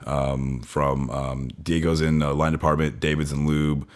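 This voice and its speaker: A man's voice: extremely low pitch, flat delivery, a little slow. A man co-hosting a podcast, explaining things in a laid-back, casual chat. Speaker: podcast co-host. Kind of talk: casual banter.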